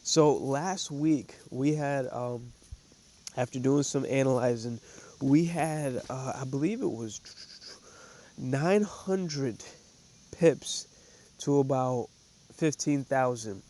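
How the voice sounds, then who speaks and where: A man's voice: mid-range voice, slow, dark tone. A man hosting a trading show, a little stuffy from a cold, talking loosely with many fillers and restarts. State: stuffy, congested. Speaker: podcast host. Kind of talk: casual trading commentary.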